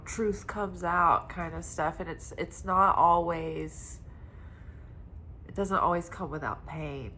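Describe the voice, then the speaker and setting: A woman giving a weekly tarot reading in a soft, meandering, spiritual way, her voice stuffed up and congested from crying. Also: fairly low pitch, slight background noise. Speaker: tarot reader.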